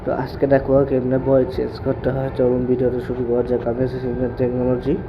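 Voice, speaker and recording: A man's voice: mid-range voice, monotone, fast. A man giving a casual tutorial as a tech video creator. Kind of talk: casual spoken screen-recording tutorial. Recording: dull lo-fi mic with clear background noise.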